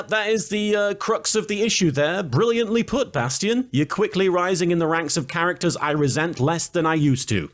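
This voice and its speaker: A man with a fairly high, animated voice. He narrates a scripted comedy recap, full of sarcastic asides and swinging intonation.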